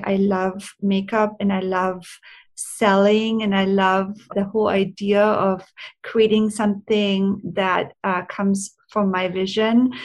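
Middle-aged woman, gravelly edge to the voice, chatty guest who drifts off track. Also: medium pitch. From Austria. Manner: rambling, loses her train of thought. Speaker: guest, makeup artist and brand co-founder. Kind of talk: casual interview talk.

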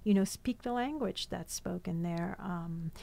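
A woman's voice: on the low side, a little slow, vocal fry, warm tone. Chatty woman, cookbook author guest. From France. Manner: chatty, many fillers and restarts. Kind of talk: casual interview chat.

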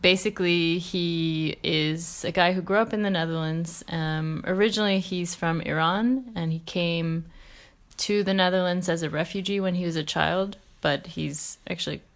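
A woman co-hosts a podcast in a thoughtful, measured way, pausing on fillers as she thinks. Her voice sits fairly low and carries a gravelly fry. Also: steady tone. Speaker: podcast co-host. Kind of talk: podcast hosting.

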